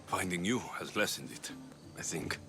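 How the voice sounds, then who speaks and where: An actor playing a brooding, determined young Greek mercenary, terse, intense and solemn, in a dramatic video game scene. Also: male voice, low voice, expressive, normal speed. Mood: subdued warmth. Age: young adult. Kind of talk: dramatic game cutscene acting. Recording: music behind the voice.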